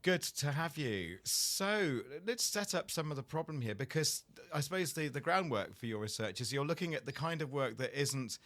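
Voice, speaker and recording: Croaky, chatty male podcast host. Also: lively intonation, normal speed, few pauses, fairly bright tone. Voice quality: low gravelly radio voice. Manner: chatty and jokey, with playful asides. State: slightly croaky voice. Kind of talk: casual tech podcast hosting. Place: small studio.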